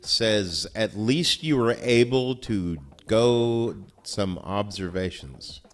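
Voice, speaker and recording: A man's voice: on the low side, takes it slowly. A man off camera who feeds the host teasing questions and reads out viewer comments in casual banter.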